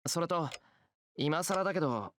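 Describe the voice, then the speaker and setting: A man's voice: on the high side, animated, brisk, fairly bright tone, slight background noise. A man playing an earnest, bewildered teenage schoolboy hero in a Japanese visual novel. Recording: background music under game dialogue.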